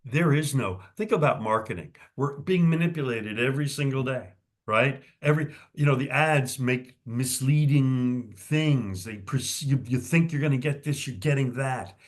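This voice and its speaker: A man's voice: medium pitch, normal speed. A man hosting an interview, enthusiastic and posing thoughtful questions to his guests. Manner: enthusiastic, asks musing questions. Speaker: host.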